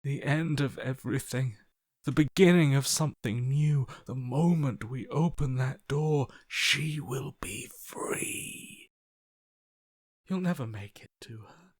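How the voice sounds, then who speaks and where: A man acting a broken, submissive inner voice in a video game, slow, hushed and breathy, resigned and reverent. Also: mid-range voice, no room sound, clean studio sound. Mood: reverent, hopeless. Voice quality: hushed, breathy, half-whispered. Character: broken, submissive male inner voice, devoted and defeated.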